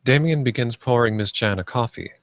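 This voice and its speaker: A man's voice: medium pitch, fairly quick, dry recording, studio quality. A man reading stage directions aloud as scripted narration.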